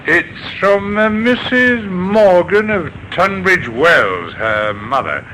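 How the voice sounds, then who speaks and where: A man playing a plodding, deferential police sergeant in an old radio crime drama, dutiful and halting. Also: high voice, expressive, bright, forceful tone, heavily acted. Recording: faintly noisy old radio recording.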